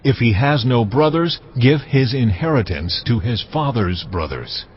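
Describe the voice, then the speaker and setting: An actor playing God as a solemn lawgiver, measured and commanding, in a dramatised scripture reading. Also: male voice, mid-range voice, animated, slight background noise, natural voice. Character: God, solemn male lawgiver speaking to Moses. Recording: slightly muffled, music underneath. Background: background music.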